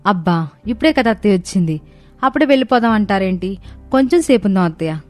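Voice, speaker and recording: Woman playing expressive young daughter-in-law, music behind. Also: medium pitch, fast talker, close to an everyday voice. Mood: playful persuading. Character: lively teasing young daughter-in-law, fond of fun. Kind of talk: animated moral-story dialogue.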